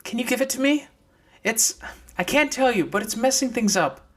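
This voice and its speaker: Guy doing an excitable young girl, voice pushed up high, urgent and chatty, audiobook character. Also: normal speed, full character voice. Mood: hesitant, urgent.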